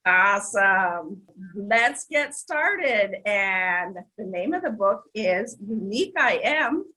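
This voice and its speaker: A woman's voice: medium pitch, expressive, slow pace, pushed and bright. A woman hosting a children's story show, exclamatory and speaking directly to young listeners.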